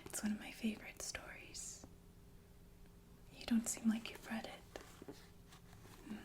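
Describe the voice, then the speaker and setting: A woman playing a sinister, falsely soothing killer nurse in an ASMR roleplay, speaking in a genteel, deliberate breathy whisper with murmured asides. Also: fairly high pitch, monotone, lightly acted. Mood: refined, musing. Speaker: ASMR performer.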